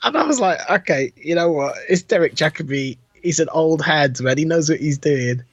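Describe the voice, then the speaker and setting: A man's voice: on the high side, animated, normal speed, slightly rough, dry recording. A man chatting casually and enthusiastically as a podcast co-host, with informal, emphatic delivery. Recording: dull, muffled lo-fi audio.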